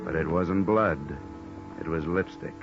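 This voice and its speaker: An American man acting a wry, hard-boiled insurance investigator in an old-time radio noir drama, deadpan and wisecracking. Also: sits low, unhurried. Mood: wry, tense narration. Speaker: actor narrating and playing a detective. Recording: dull lo-fi old radio recording, music behind.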